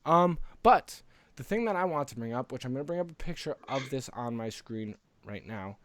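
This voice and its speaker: A man's voice: medium pitch, lively intonation, moderate pace, dry recording. American man, casual podcast chat, dry close sound. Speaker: podcast co-host. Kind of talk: casual podcast chat about news and games.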